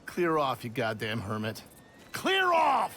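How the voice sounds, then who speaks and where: Sharp-voiced jeering American bully. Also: male voice, mid-range voice, huge pitch swings, slow, slight background noise, exaggerated voice. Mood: belligerent. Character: jeering crude male bully, mocking and belligerent. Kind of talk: dramatic video game acting.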